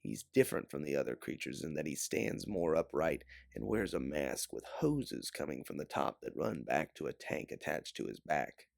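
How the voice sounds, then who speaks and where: A man with a deep, gravelly voice reads a sci-fi adventure story. His delivery is theatrical and measured, with lively swings in pitch. The recording is close and dry. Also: dry recording. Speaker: audiobook narrator. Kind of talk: dramatic second-person sci-fi story narration.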